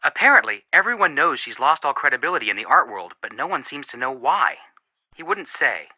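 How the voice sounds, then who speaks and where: An actor playing an earnest, sympathetic young amateur detective in scripted video game dialogue. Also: male voice, fairly high pitch, sing-song, brisk, sharp tone, no room sound, dull audio, exaggerated voice. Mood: animated, sympathetic.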